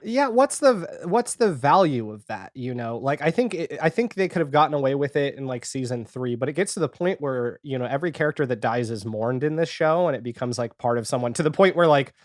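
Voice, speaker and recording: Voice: mid-range voice, animated, a little fast, hardly any pauses, no echo, studio quality. A man chatting casually as a podcast guest, rambling and retelling things with quoted reactions. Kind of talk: casual podcast chat about a TV series.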